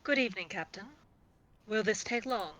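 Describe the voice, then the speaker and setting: A man's voice: high voice, normal speed, sharp tone, lo-fi sound. A man voicing a polite, reserved dog handler who worries about leaving his dogs, in a fan-voiced game playthrough. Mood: guarded. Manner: courteous, worried and guarded.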